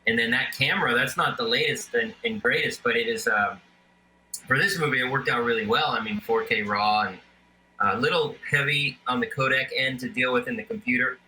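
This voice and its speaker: A man's voice: medium pitch, a little fast, pushed and bright, slight background noise, low-quality recording. A man, an independent filmmaker, talking casually about his film with many fillers and restarts. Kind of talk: casual interview chat.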